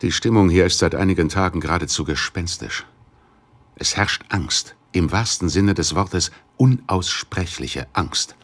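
A middle-aged man of standing, speaks in a deep voice. He is formal and measured, a worried, serious father in an audio drama. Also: fairly bright tone. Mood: solemn, ominous. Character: grave, worried Chinese businessman, father of missing daughter. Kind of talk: German radio play dialogue.

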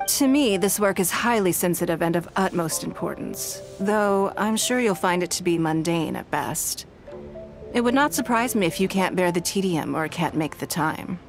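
An actress playing a poised, wealthy noblewoman in a game, speaking in a measured, formal and gracious way. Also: fairly low pitch, expressive, over music. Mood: composed. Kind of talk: game voice acting.

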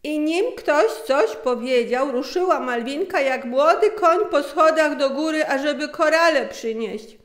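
A middle-aged woman narrating a scripted story in an unhurried, expressive manner. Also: high-pitched. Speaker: author and narrator. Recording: roomy, echoing sound.

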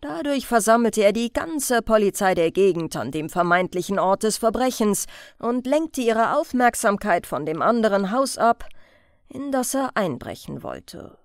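A woman's voice: medium pitch, big pitch swings, normal speed, no room sound, clean studio sound. A woman narrating a crime audiobook as a scripted reading.

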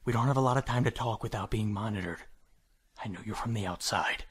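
A man playing a weary, desperate captive doctor and worried father in an audio drama, urgent and halting. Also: on the low side, slightly rough.

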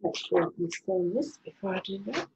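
A woman's voice: mid-range voice, expressive, moderate pace, vocal fry, a little mellow, dull audio. An older woman hosts a home cooking demonstration, explaining each step in a chatty, homely way while she works in her kitchen. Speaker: cooking show host. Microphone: camera on the counter. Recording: echoey kitchen with clicks and noise.

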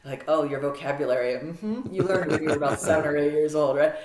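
A middle-aged American woman talking as a podcast guest on a video call, animated and clear with frequent ums. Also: low-pitched, quick pace. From United States. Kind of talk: casual interview storytelling. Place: home office, video call. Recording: roomy video-call audio.

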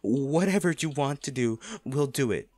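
A man's voice: mid-range voice, expressive, darkish tone, dry recording, faint music behind, lightly acted. Audio roleplay lead: male actor as wealthy hard-hearted man grieving his love, emotional, faltering, intimate, very dry sound. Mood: anguished, pleading. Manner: halting, pleading, emotional delivery. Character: rich, ruthless man softened by love, grieving at bedside.

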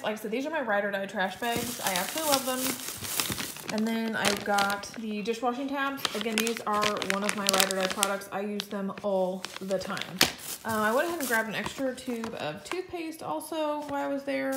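A woman vlogging casually from her home kitchen, chatty and enthusiastic, with plenty of "like" fillers. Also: a little high. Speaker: lifestyle vlogger. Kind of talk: casual chatty vlog talk to camera. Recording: echoey room with faint noise.

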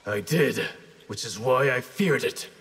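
An actor playing a stern, honorable ninja grandmaster in a video game, speaking in a terse, resolute, formal way. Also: male voice, mid-range voice, lively intonation, measured pace, fairly bright tone, breathy edge, full character voice. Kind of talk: video game character acting.

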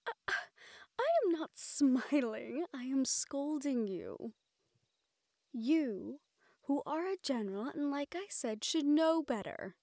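Woman acting a high-voiced, tender fantasy sweetheart. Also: lively intonation, slow, mellow, no echo, clean studio sound, exaggerated voice. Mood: playful scolding. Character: gentle, playfully scolding fantasy woman courting a dragon general. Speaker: audio roleplay voice actor. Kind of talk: romantic fantasy audio roleplay.

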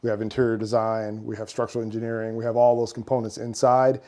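A man's voice: lowish voice, completely flat delivery, fast talker, warm tone. A man in his thirties, a builder and firm founder, explaining his work earnestly in an office meeting room, with some fillers. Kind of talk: interview answers.

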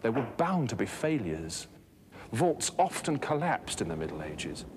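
A man in his thirties presenting a scripted educational documentary in a measured, articulate, expository manner. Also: medium pitch, sing-song. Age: 30s. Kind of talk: scripted educational narration on architecture. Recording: noisy old documentary film soundtrack.

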